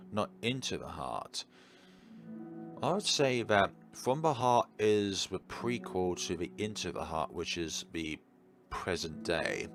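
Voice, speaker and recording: A man reading a visual novel aloud and commenting on it in a theatrical, slightly formal way over game music. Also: low-pitched. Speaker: video game playthrough host. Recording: music behind the voice.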